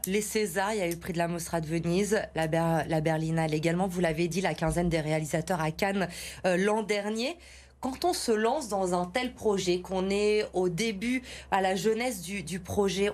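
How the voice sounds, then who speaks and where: A woman's voice: fairly low pitch, darkish tone. A woman hosting a broadcast interview, putting questions to her guest and summing up their work. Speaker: television interviewer, host.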